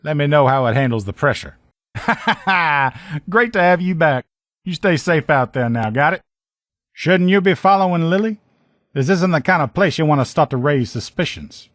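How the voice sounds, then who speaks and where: A man's voice: a little high, animated, normal speed, a bit bright, no echo, studio quality. A man voicing a slangy, good-humored veteran lieutenant and mechanic in a video game. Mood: friendly, teasing, then scornful, amused. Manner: folksy, slangy, talkative. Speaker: video game character voice actor. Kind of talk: video game dialogue lines.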